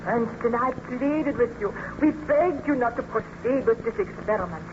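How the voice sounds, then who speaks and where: A woman's voice: fairly high pitch, expressive, moderate pace, close to an everyday voice. An actress playing a passionate, reproachful young woman in love with a baron, in a melodramatic old-time radio drama. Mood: bitter, accusing. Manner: melodramatic, formal declamation. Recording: dull, noisy old radio recording.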